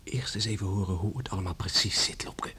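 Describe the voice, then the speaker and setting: An actor playing a kind, dutiful young village man in a radio play, speaking earnestly with a husky voice. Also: sits low, a little fast, bright, forceful tone, whispered, heavily acted. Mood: grave, gentle. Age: young adult.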